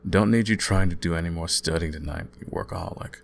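A young man playing an easygoing, caring student roommate in an intimate ASMR audio roleplay, talking casually with gentle teasing. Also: low-pitched, fast, creaky, a little hiss or hum. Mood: relaxed, teasing. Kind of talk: intimate ASMR boyfriend-style roleplay, conversational acting.

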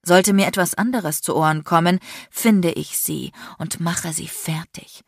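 An icy, domineering man in an audiobook drama, acted out by a woman. He is furious and sarcastic, hissing and barking threats in a lowish voice with a dry, close sound. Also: a little slow, vocal fry, dry recording. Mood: icy fury, threatening. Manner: shouting, biting, threatening, crude. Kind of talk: dramatic audiobook character acting.

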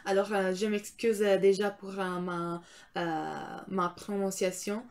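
Young woman, Italian accent, first language Italian; slow, halting explainer to camera, heavy filler sounds. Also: medium pitch, flat delivery, darkish tone. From Italy. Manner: hesitant, many filler sounds while searching for words. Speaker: student vlogger. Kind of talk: educational talk to camera. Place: small room indoors. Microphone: camera or phone in front, framed from below.

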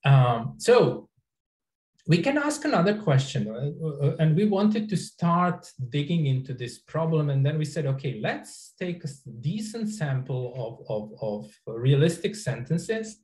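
A middle-aged man, an or Croatian speaker, giving an academic talk over a video call in a halting way with many restarts. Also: fairly high pitch, sing-song, a little mellow, roomy sound. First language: Serbian or Croatian. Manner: halting, frequent restarts and fillers. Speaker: researcher giving a lecture. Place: indoor office. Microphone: webcam on a video call.

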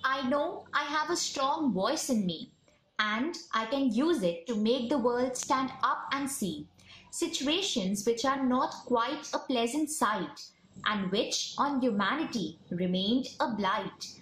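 A young woman from India with an Indian accent, reciting a poem in a measured, declamatory way. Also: fairly high pitch, expressive, a bit bright. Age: young adult. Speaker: poem presenter. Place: small room at home. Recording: roomy home recording with noise.

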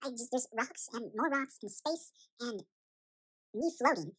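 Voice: medium pitch, animated, unhurried, a bit bright, slightly rough. A performer voicing a panicky, bewildered young chipmunk boy in a cartoon fan story, rambling and repeating themselves in an anxious, dramatic way. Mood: confused musing.

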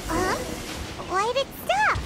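A woman voicing a small, excitable fairy girl companion in a video game, with a squeaky, childlike, exclamatory delivery. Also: takes it slowly, noisy background, heavily acted. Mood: curious. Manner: exclamatory, refers to herself in third person.

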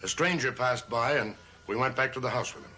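An older man playing a grave, reassuring grandfather in a gothic soap opera, speaking in a measured, theatrical way. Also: medium pitch, bright, forceful tone, faint music behind, lightly acted, rough recording. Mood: grave confession. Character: grave, reassuring old grandfather of a cursed family. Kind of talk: 1960s gothic TV soap acting. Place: TV studio set of an old house.

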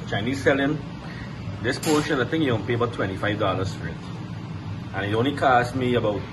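Low-voiced Guyanese man over loud kitchen noise. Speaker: home cooking vlogger. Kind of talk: casual cooking vlog chat. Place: home kitchen, indoors. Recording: noisy kitchen, loud appliance hum.

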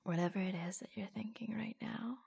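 A woman playing an affectionate, proud and reassuring secret office lover in an ASMR roleplay, speaking softly, breathily and hesitantly, often close to a whisper. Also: mid-range voice, fairly even intonation, fairly quick. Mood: tender, hushed. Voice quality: soft, breathy. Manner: hushed, hesitant, confiding.

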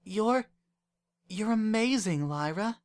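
A man's voice: sits high, expressive, slow, voice pushed up. A man voicing an earnest, worried young female pony who pleads urgently, in a story reading. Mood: shocked, pleading.

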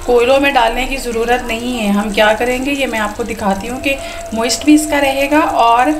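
A woman's voice: fairly high pitch, steady tone, fairly quick. A woman chatting casually to her viewers while cooking at home, sprinkling in English words. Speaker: home cook showing a recipe. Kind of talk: casual cooking vlog narration. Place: home kitchen. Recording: echoey room with clear household noise.

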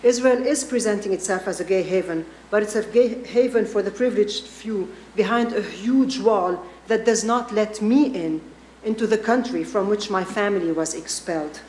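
A woman's voice: medium pitch, moderate pace, mellow. A middle-aged woman delivers a prepared statement in a mid-range voice. The meeting room is echoey, with faint ambient noise. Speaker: public commenter at council meeting. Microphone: podium microphone.

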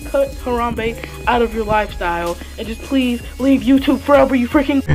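Exasperated ranting young voice. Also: female voice, on the high side, normal speed, a little mellow, faint music behind, poor audio. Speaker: guest YouTuber. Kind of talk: ranting video clip.

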